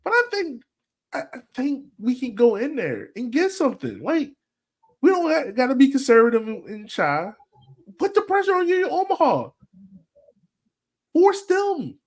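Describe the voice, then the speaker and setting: A soccer podcast panelist with very lively, swinging pitch. The talk is slangy and argumentative, with points driven home by repetition. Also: moderate pace, long pauses. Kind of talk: animated casual sports debate.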